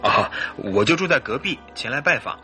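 An actor playing a mild-mannered, troubled husband in a Mandarin radio drama. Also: male voice, medium pitch, lively intonation, normal speed, bright, forceful tone, faint music behind. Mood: polite.

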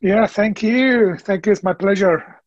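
A man, a ministry leader talking in a halting, earnest way with many fillers as a podcast guest. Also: sits high, no echo, highs cut off. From Egypt. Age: late 50s. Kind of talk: casual interview conversation.

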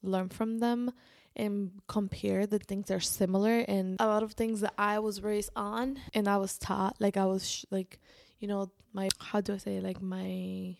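Creaky-voiced woman chatting casually. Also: medium pitch, flat delivery, a little mellow. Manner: informal, halting, restarts sentences and quotes herself often. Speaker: vlogger. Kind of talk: casual personal storytelling to camera.

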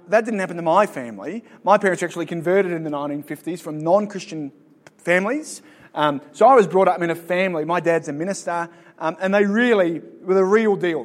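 This is an Australian man in his thirties with a slightly higher voice, telling his life story to an audience. He mixes dry, self-deprecating jokes into his answers, like a guest speaker who is comfortable in front of a crowd. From Sydney, Australia. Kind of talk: live interview and personal testimony to an audience.

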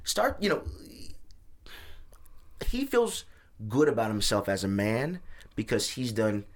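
A man's voice: lowish voice, huge pitch swings, takes it slowly. A man talking as a podcast guest, joking, blunt and profane in casual chat.

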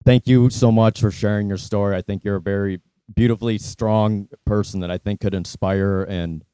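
Deep, smooth, dry-sounding male interviewer. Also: steady tone, normal speed, dark tone, no echo. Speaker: interviewer, co-host. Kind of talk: casual interview.

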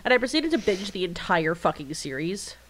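A woman's voice: on the low side, sing-song, a little fast. A congested woman co-hosting a podcast, chatting and telling rambling, jokey stories. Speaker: podcast co-host. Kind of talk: casual chat.

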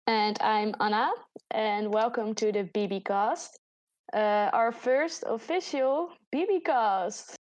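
A woman's voice: on the high side, takes it slowly, darkish tone, no room sound. A woman in her twenties from the Netherlands with a Dutch accent, co-hosting a casual podcast chat and laughing often. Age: 20s. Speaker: podcast co-host. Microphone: voice chat recording.

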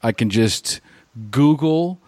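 A man's voice: mid-range voice, animated, takes it slowly, a little mellow, no room sound. Affirming male podcast host, dry sound. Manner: affirming, conversational. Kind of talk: casual interview chat.

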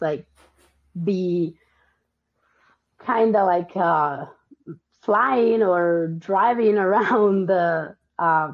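A woman who grew up in Italy, a podcast guest chatting casually and hesitantly with lots of ums and restarts. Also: lowish voice. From Argentina and Italy. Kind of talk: casual interview chat. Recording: dull lo-fi audio.

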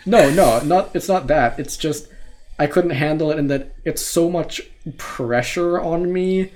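A man's voice: fairly high pitch, measured pace, a little mellow. Young man with an American accent. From Australia. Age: late teens. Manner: casual, loose and profane, rambling with restarts. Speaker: podcast co-host. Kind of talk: casual chat.

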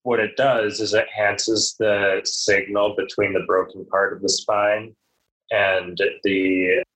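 Low, flat-voiced young man. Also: slow pace, rough recording. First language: Polish. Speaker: podcast guest. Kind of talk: casual interview answers.

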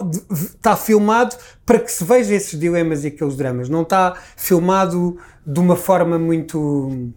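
A man's voice: on the high side, a little mellow. Rambling, reflective Lisbon Portuguese man. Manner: reflective, rambling with many restarts. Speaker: guest. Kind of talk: casual conversation.

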